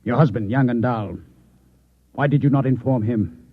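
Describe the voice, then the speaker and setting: A man playing a coolly menacing Martian infiltrator posing as a newspaper man in an old-time radio drama, measured, formal and insinuating. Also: mid-range voice, natural voice. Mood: controlled, probing. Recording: echoey, phone-like old radio drama recording.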